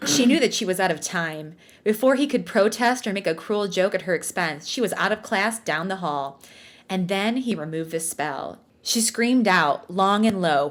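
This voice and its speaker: A woman co-hosting a comedy podcast, reading fan fiction aloud and chatting casually with playful, wry asides. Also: mid-range voice.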